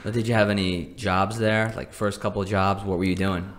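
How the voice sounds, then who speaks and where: A man's voice: fairly low pitch, little pitch movement, a little fast, dry recording. An American man hosting a podcast, reading an upbeat intro and asking interview questions. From United States. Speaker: podcast host.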